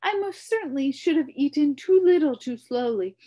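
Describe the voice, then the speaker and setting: A woman's voice: high-pitched, lively intonation, moderate pace, dark tone, voice pushed up. A woman voicing a pompous, wordy male humbug bug who talks in grand, theatrical phrases.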